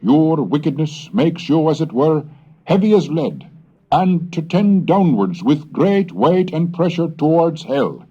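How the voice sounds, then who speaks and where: A man's voice: on the high side, sing-song, a little slow, dark tone, breathy edge, heavily acted. A man reading aloud as a fiery colonial preacher, solemn and emphatic, on a dull old cassette recording. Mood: solemn, warning. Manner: solemn, rising, emphatic delivery.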